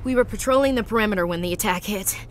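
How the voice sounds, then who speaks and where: A woman's voice: mid-range voice, very fast, a little hiss or hum, close to an everyday voice. An actress playing a young female marine, an earnest and plainspoken soldier, in a video game cutscene. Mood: shaken, urgent. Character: young female human marine, shaken but resolute survivor. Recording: music behind, game soundscape.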